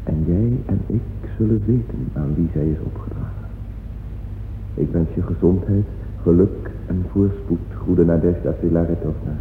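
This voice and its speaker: Man acting earnest young composer in an old radio play, real deep voice, formal, measured, echoey tinny sound. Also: close to an everyday voice. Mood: grateful, solemn. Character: courteous, proud, earnest young male composer. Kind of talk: dramatic radio play, reciting letters. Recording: echoey, phone-like old radio recording, faint noise.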